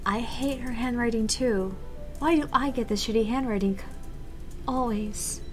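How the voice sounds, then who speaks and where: Female gaming co-host, informal and plain-spoken, fairly high voice, music underneath. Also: normal speed. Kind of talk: casual chat.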